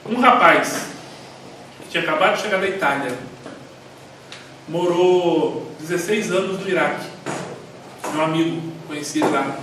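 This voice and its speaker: Animated Brazilian man, echoey hall. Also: on the high side, moderate pace, long pauses. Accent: Brazilian Portuguese. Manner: animated, rambling, storytelling. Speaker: church teacher. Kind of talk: informal church class talk. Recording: echoey hall, mic far off, faint noise.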